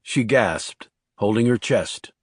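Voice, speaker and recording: A man's voice: fairly low pitch, expressive, slow, husky. A man reading scripted thriller narration as an audiobook narrator.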